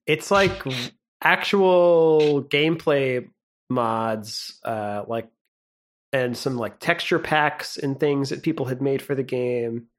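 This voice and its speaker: A young man co-hosting a podcast in casual chat, relaxed and rambling, laughing often. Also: mid-range voice, takes it slowly. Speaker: podcast co-host.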